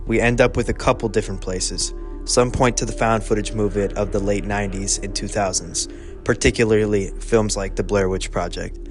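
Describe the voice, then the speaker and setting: A man narrating a scripted video essay in a measured, even way. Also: fairly low pitch.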